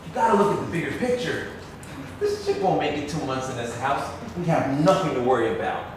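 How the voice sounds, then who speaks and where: A man's voice: a little high, sing-song, fairly quick. A man acting in a stage play as a wry, persuasive, scheming grown son of a wealthy family. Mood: calculating. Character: scheming, pragmatic adult son of wealthy businessman. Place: theatre stage. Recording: echoey theatre, distant mic, noisy.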